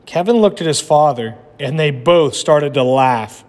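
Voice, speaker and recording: A man's voice: a little high, expressive, unhurried, a little mellow. A man with an instructive manner, speaking as a teacher reading a children's storybook aloud. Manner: instructive, checks in with listeners. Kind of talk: lesson and storybook narration. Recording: echoey room with long reverb.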